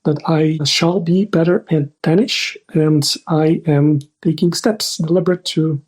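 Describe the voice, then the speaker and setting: A middle-aged man speaking as an interview guest, explaining things in a measured, structured way with careful definitions. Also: a little high, fairly even intonation, darkish tone, husky. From Bulgaria. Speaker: podcast guest, risk manager.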